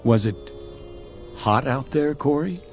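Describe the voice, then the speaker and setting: A man's voice: medium pitch, expressive, slow pace, darkish tone. An actor playing a stern captain in a vintage TV drama, commanding and sarcastic, fiercely protective of his crew. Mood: suspicious, sarcastic. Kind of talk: mid-century television drama acting. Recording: music under dialogue.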